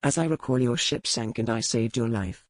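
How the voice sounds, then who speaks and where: An actor playing a dangerous, brooding pirate earl in a romance audiobook, calm and measured, with formal old-fashioned phrasing. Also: male voice, medium pitch, dry recording, close to an everyday voice. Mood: cool. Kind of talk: dramatized romance audiobook dialogue.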